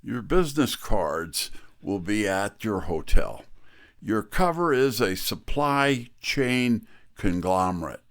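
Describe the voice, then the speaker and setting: A man plays a detective's wry right-hand man in an audio mystery. The voice sits fairly low and moves with lively melody, yet takes its time. The manner is businesslike and hard-boiled. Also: full character voice. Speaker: narrator and character actor. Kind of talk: first-person detective drama narration.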